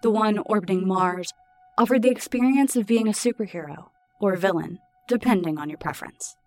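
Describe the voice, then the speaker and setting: An actress playing an informative space probe archive, giving measured scripted narration in a sci-fi audio drama. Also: female voice, medium pitch, darkish tone, soft background music, close to an everyday voice.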